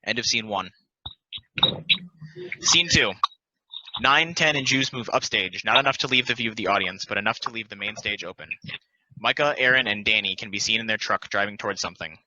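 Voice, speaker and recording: A man's voice: on the low side, a little fast, long pauses, sharp tone, dry recording. A man reading scripted narration as the narrator of stage directions. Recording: dry, dull lo-fi audio.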